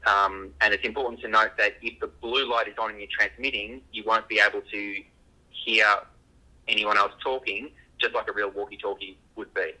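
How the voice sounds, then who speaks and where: Sharp, radio-like man explaining. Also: on the low side, little pitch movement, normal speed, rough recording. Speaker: engineer being interviewed. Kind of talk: unscripted interview explanation.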